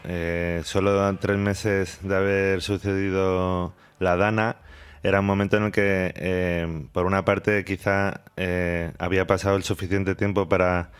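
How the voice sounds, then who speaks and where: A male radio interviewer with an accent from Spain speaks in a low, very clear voice. He is informal and thinks aloud, pausing often as he builds his questions. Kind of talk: casual interview talk.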